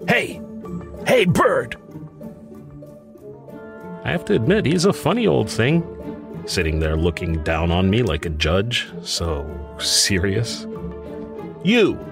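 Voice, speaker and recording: An actor playing a grief-stricken, bookish widower who mutters anxiously to himself in a theatrical old-time radio horror monologue. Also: male voice, lowish voice, sing-song, slow pace, gravelly, pauses often, full character voice. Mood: wry, agitated. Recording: music under the voice. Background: prominent music.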